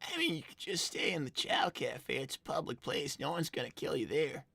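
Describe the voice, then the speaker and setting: A woman's voice: deep voice, normal speed, creaky, a bit bright, husky, dry recording, full character voice. A woman voicing an exasperated, awkwardly kind teenage boy fox, hesitant and dry in a live cartoon roleplay. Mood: practical.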